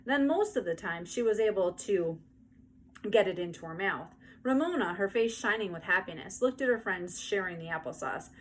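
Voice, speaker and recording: A woman narrating a children's book aloud in an expressive storytelling style. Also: mid-range voice, moderate pace. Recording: faint background noise.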